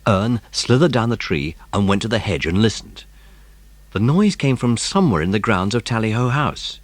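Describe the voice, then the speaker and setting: A man's voice: fairly low pitch, expressive, moderate pace, dry recording. A man narrating a children's story in a dramatised audiobook style.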